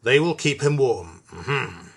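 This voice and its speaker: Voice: mid-range voice, expressive, slow pace, gravelly, husky, full character voice. A man voicing a cross, pompous male railway boss in a children's story, with an emphatic scolding manner.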